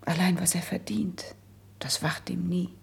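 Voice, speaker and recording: An actress playing a melancholy, resigned young princess who has been sickly since youth, in a classical verse radio drama, with a breathy, husky, hushed and measured delivery. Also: female voice, on the low side, flat delivery, exaggerated voice. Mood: pained resignation.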